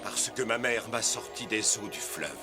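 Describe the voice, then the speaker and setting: A man voicing a humble, earnest shepherd and prophet in an animated film, with music behind. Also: fairly low pitch, measured pace. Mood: awed, doubtful. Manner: earnest, awed. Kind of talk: animated film dub in French. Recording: loud musical score behind.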